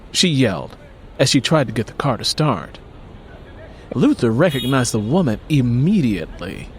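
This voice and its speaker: A man's voice: mid-range voice, slight background noise. A man reading scripted material as the host and narrator of a story podcast. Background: music.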